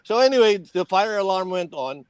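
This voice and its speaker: A man with a Filipino accent, a radio veteran telling a story casually and haltingly. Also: high-pitched, animated, smooth, no echo.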